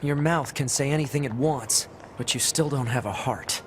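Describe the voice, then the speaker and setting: A man playing a young male android scout in a video game, skeptical and excitable, with informal, expressive speech. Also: mid-range voice, normal speed, noisy background, natural voice. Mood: skeptical, casual.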